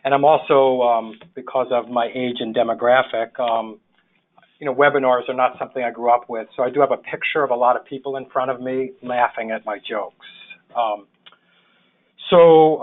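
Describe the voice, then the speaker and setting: A sixty-year-old man with a New York accent giving an informal slide presentation in a halting, reflective way as a webinar presenter. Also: medium pitch. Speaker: webinar presenter, public health social worker. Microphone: phone or webinar call. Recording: thin phone-line webinar audio.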